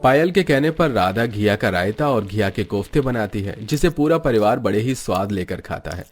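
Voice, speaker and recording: A man's voice: on the low side, lively intonation, moderate pace. A man reading scripted story narration, with music playing behind him. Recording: music under the voice. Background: background music.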